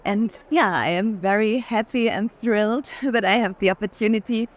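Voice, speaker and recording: A woman's voice: mid-range voice, normal speed. Effusively welcoming woman host. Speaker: curator hosting a tour. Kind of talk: hosted walking conversation.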